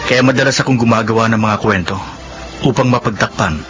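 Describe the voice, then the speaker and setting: An actor playing a glib young spendthrift bachelor with a Filipino accent in a radio drama. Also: male voice, mid-range voice, fairly quick, music in the background, natural voice. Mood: confessional. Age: young adult. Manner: glib, excuse-making. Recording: noisy radio drama recording.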